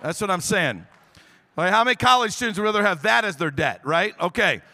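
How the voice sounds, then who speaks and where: A man's voice: high voice, animated, fairly quick, sharp tone, long reverb tail. A man speaking as pastor from the pulpit, enthusiastic and rallying the congregation, in a large church auditorium.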